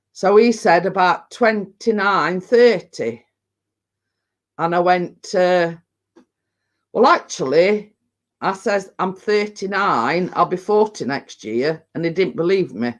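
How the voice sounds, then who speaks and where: Slow-talking Lancashire woman in her fifties. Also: lowish voice. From Lancashire, England. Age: 50s. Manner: chatty and rambling, laughs often. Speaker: livestream host. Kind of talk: casual live chat reading viewer comments.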